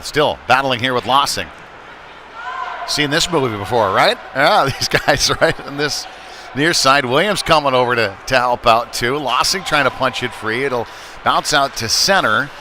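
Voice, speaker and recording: A man's voice: mid-range voice, noisy background. A man giving live play-by-play commentary of a youth ice hockey game, with conversational, folksy asides.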